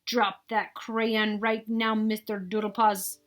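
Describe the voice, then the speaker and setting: A woman's voice: mid-range voice, level tone, a bit bright, faint music behind. A woman voicing a firm, emphatic mother cat who scolds and coaxes in a picture-book read-aloud. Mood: stern. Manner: emphatic, drawn-out commands.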